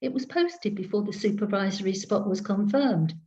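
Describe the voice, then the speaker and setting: A woman's voice: mid-range voice, normal speed, dark tone, lightly acted. A woman storyteller voicing a confident, teasing woman psychic with dry wit, heard over a video call. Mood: teasing, knowing. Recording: dull lo-fi video call audio.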